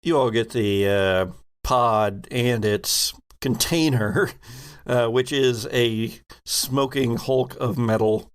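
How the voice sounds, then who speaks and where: A man improvising narration as game master of a tabletop role-playing game, halting as he searches for words. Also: medium pitch, smooth.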